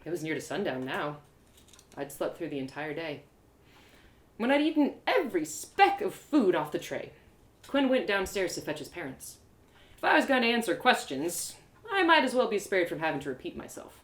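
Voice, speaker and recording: A woman narrating a first-person story live, in an expressive, theatrical storytelling manner. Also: lowish voice, moderate pace, reverberant. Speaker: storyteller, audio drama creator.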